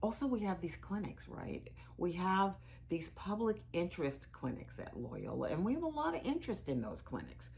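Animated, gravelly older American woman, acting a monologue. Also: on the low side, normal speed, hardly any pauses. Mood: earnest, proud. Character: candid, frustrated, caring woman law school administrator. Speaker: performer in a dramatized narrative. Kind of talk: conversational monologue, dramatized first-person account. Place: small indoor room with bookshelves.

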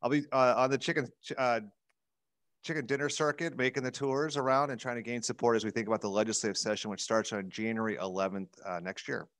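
A man's voice: medium pitch, steady tone, fast talker, fairly bright tone, no echo, studio quality. A middle-aged American man chatting informally and informatively as a show panelist on a video call. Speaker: legislative advocate and show panelist. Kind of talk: casual informational chat. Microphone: headset on a video call.

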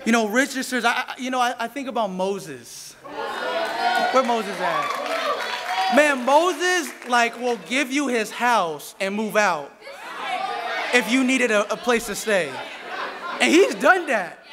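A man in his thirties preaching a sermon from a pulpit mic, animated and playful, bantering with the congregation. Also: very high voice, takes it slowly, pauses often, a loud crowd, heavy background noise. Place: church sanctuary, indoors. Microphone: stand mic at a pulpit. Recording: reverberant church hall with faint congregation.

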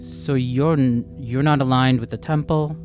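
Slow-paced, halting young male player. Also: medium pitch, fairly even intonation, a little mellow. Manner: hesitant, halting questioning. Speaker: tabletop game player. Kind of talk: improvised in-character dialogue in everyday voice. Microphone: close podcast microphone on stand. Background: instrumental music.

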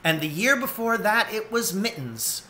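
A man's voice: sits high, very animated, normal speed, bright, forceful tone, slight background noise, voice pushed up, full character voice. A man voicing a weary, hopeful mother otter in a storybook, earnest and plain-spoken. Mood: wistful.

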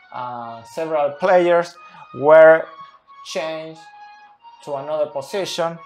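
A man in his thirties who is not a native English speaker, explaining football tactics unscripted at a whiteboard, slow and deliberate with many pauses. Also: on the high side. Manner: slow and deliberate, pausing often. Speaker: football analyst. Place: small room by a whiteboard.

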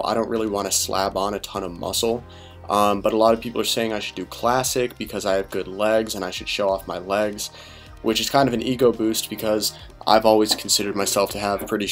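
A young man with a low voice talks softly and keeps it hushed, as if trying not to wake anyone. He rambles casually with plenty of fillers and restarts. Faint music runs under the close voice-over. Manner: deliberately quiet and low-key. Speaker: fitness vlogger. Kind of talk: quiet late-night voice-over.

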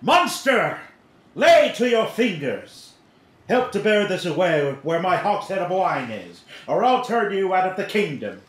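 A man acts a pompous, drunken servant lording it as king in a Shakespearean radio play. His fairly high voice swoops widely, bossing everyone about. The sound is roomy and echoing. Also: very animated, measured pace. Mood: bossy. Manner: pompous, commanding. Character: bossy drunken male servant playing at king. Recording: roomy, echoing home recording made online.

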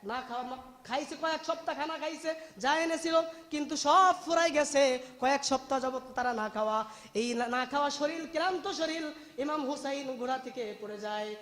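Guy preaching really high and emotional, repeats lines for effect, big echoing hall sound. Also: moderate pace, fairly bright tone. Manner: emotive, declamatory, repeats phrases for emphasis. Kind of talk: impassioned religious sermon, storytelling to a congregation. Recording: long hall reverb on the voice.

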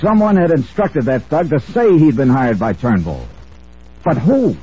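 An actor playing a tough, impatient American insurance investigator in an old-time radio detective drama, brusque and insistent. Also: male voice, fairly high pitch, very animated, unhurried, noisy background. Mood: pondering, resolute. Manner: hard-boiled, brusque, insistent. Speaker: lead actor and narrator. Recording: muffled old radio recording with music.

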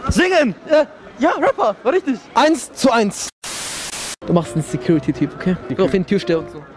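High-voiced young man, street comedy host, slangy animated prompts, outdoor handheld mic, music behind. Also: brisk. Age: young adult. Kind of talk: casual street banter. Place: busy city pedestrian street, outdoors. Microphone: handheld microphone.

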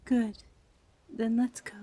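A woman voicing a gentle, melancholy lady in a fantasy game, speaking softly and wistfully. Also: a little high, little pitch movement, slow. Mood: calm. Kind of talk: scripted game dialogue.

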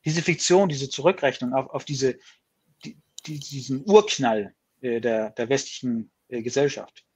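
A man's voice: mid-range voice, moderate pace, a little mellow, slightly rough. A man who is not a native speaker, talking hesitantly and reflectively on a video call from a room at home. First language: not German. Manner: hesitant, restarts words, reflective. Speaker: guest. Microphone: video call webcam.

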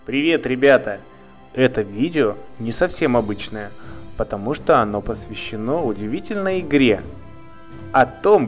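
A man giving scripted promotional narration for children as a video host. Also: medium pitch. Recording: music under the voice. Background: music.

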